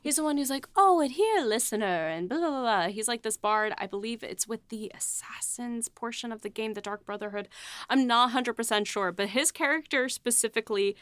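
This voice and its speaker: A woman's voice: on the high side, a little fast, fairly bright tone, clear, resonant tone, no echo. A woman writer co-hosting a casual behind-the-scenes talk, rambling with lots of fillers and laughs. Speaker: writer and podcast co-host.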